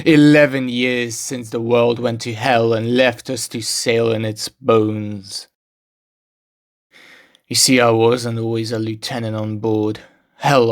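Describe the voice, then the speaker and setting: Man acting a brooding, monotone seafaring survivor. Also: mid-range voice, close to an everyday voice. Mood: weary, bitter, then reflective. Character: world-weary, guarded male post-apocalyptic seafaring lieutenant, haunted survivor. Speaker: narrating game character. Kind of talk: scripted narration.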